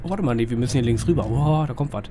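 A slightly hoarse man gives casual, rambling, colloquial commentary on a driving game, often asking himself little questions. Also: mid-range voice, racing. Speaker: gaming video host. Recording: clear vehicle engine noise behind voice. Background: truck simulator engine sound.

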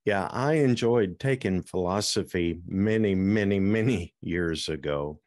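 Male host, low and very slow, deliberate interviewer on a studio mic. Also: darkish tone. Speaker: podcast host. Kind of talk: interview questions. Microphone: studio mic with headset.

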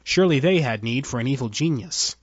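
A man's voice: medium pitch, expressive, a little fast, slightly rough, no echo, muffled recording. A man reading scripted narration as a storyteller.

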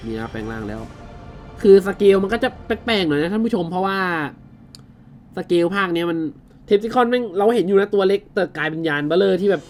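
A man with a high-pitched voice gives casual commentary on a video game. He exclaims often, uses crude slang and talks straight to his viewers. Game music plays behind him. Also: animated, quick pace. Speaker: gaming video host. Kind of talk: casual game commentary with loosely dubbed dialogue.

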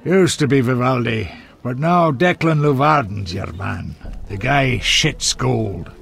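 An actor playing a blunt, crude, hearty dwarf in a fantasy game, talking in an earthy way. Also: male voice, medium pitch, animated, takes it slowly, vocal fry, a bit bright, exaggerated voice.

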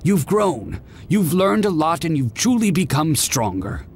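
Elderly professor, video game: encouraging, concerned, slightly high-pitched and lively in intonation, light music bed. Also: male voice, measured pace, breathy edge, full character voice. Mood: warm, encouraging. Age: older. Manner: earnest, mentoring. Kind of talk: video game cutscene acting.

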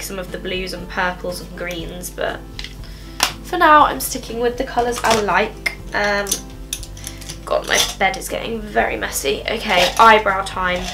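A woman's voice: medium pitch, vocal fry. A young woman chatting through a makeup tutorial in her bedroom, rambling casually with lots of "um", a little nasal from a cold. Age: young adult. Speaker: beauty vlogger. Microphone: camera in front at close range.

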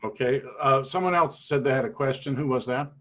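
An older man moderating questions at an online meeting, speaking informally and haltingly. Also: mid-range voice. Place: home room, on a video call. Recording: dull, muffled video-call audio.

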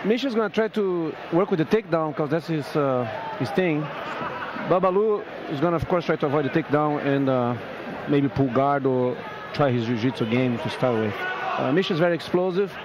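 A man commentating a grappling match as guest, explaining moves calmly with frequent fillers. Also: on the high side, a little fast, highs cut off. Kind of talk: live fight commentary. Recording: noisy arena with crowd.

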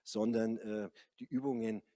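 A man's voice: lowish voice, level tone, moderate pace, mellow, no room sound. A middle-aged man, a yoga teacher, talking on a café panel with a handheld mic and many hesitant fillers. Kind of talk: panel conversation. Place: large café hall, indoors.

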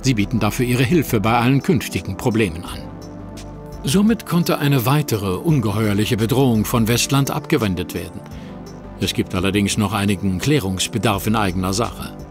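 A man narrating an audio drama in an even, formal storytelling manner. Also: fairly low pitch, normal speed, natural voice. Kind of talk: scripted narration. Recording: narration over music.

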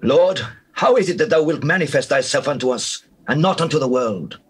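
Casting: imploring follower for dramatized scripture, raspy edge, slightly high voice. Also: male voice, animated, fairly quick. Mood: earnest. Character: earnest male disciple appealing to his master. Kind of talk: dramatized scripture reading in archaic English.

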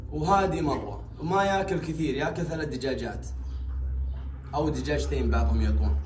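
A man's voice: a little high, fast, a little mellow, a little hiss or hum. A young man with a Saudi accent explaining things casually and emphatically, like an animal owner talking to a vlog camera. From Saudi Arabia. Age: young adult. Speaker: big cat owner and vlogger. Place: small bare tiled enclosure. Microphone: camera a few steps away. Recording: echoey bare room, distant microphone.

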